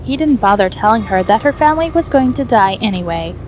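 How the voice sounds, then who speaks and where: A woman's voice: a little high, expressive, fast talker, a little mellow, a little hiss or hum. A woman reading scripted fan fiction as the narrator.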